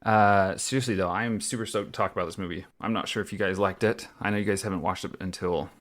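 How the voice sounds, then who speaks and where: A man's voice: sits low, steady tone, quick pace, fairly bright tone. A young man co-hosting a movie podcast in casual chat, rambling with fillers and easy laughs. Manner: chatty, lots of fillers and laughs. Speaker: podcast co-host.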